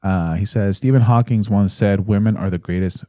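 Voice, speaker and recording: A man in his thirties co-hosting a podcast in casual chat, reading listener comments aloud in a relaxed, hesitant way with fillers. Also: lowish voice, normal speed, no room sound, studio quality. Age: 30s. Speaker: podcast co-host.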